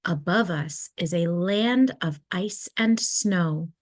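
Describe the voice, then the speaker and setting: A woman voicing a gentle, reassuring mother polar bear from a storybook, soothing and unhurried. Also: fairly low pitch, no room sound. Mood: calm, reassuring.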